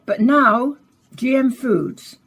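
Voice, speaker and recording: An actress playing a composed, courteous radio science presenter in a radio play, speaking in a polished, formal way. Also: female voice, a little high, lively intonation, takes it slowly, fairly bright tone, breathy edge, full character voice, low-quality recording. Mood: bright, presenterly. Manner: polished, formal, carefully phrased. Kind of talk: scripted radio play, science broadcast delivery.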